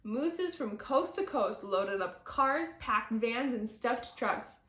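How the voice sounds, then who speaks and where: A woman reading a picture book aloud to children with deliberate, dramatic, expressive phrasing. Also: on the high side. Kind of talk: expressive children's story narration. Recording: roomy echo.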